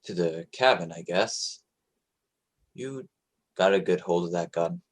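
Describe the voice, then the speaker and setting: A man's voice: low voice, unhurried. A man playing a young American sloop captain in a radio play, plain-spoken and commanding, with an American accent. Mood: wary. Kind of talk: radio play acting, 1940s style.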